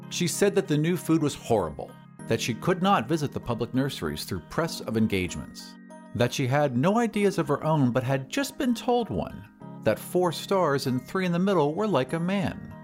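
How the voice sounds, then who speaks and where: A man's voice: fairly high pitch, very animated, normal speed. A man narrating a story as a scripted dramatic reading. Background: music.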